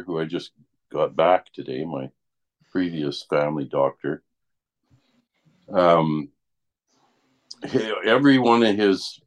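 An older man, chatting casually and haltingly, often checking in with "right?". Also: a bass voice. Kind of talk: casual chat.

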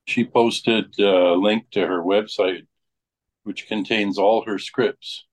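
A man's voice: fairly low pitch, steady tone, slow. A man talking in a casual conversational style. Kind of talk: casual chat.

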